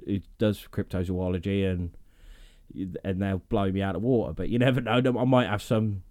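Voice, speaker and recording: A man's voice: sits low, fairly even intonation, moderate pace, a little mellow. A man co-hosting a casual podcast, chatty and full of fillers, often repeating words as he talks. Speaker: podcast co-host.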